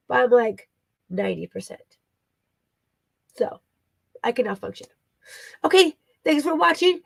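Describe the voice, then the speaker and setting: Creaky-voiced woman giving a casual update. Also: fairly high pitch, no room sound. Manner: chatty and rambling, many fillers and asides. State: recovering, voice partly back after losing it. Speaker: vlogger and online teacher. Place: her home.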